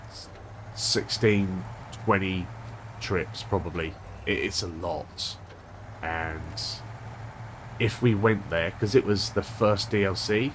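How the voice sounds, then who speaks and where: A man hosting a gaming channel, chatting casually over gameplay with a stuffed-up, unwell voice, thinking aloud with a monotone delivery. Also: low voice, noisy background. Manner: rambling, thinking aloud with restarts.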